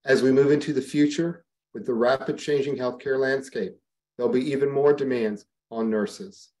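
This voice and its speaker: A man reading a prepared campaign speech as a board candidate, steady and serious. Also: medium pitch, normal speed, rough recording.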